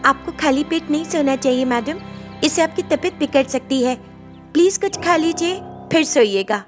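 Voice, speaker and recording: A woman voicing a gentle, poor young servant girl with a meek, pleading manner in a story. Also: high-pitched, expressive, normal speed, over music, voice pushed up. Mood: meek, caring.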